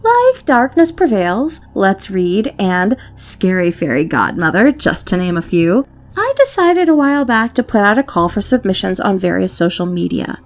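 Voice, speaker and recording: A woman's voice: mid-range voice, big pitch swings, normal speed. A woman hosting and narrating in a scripted, theatrical and whimsical storytelling style, with playful delivery. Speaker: YouTube host and story narrator. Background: background music.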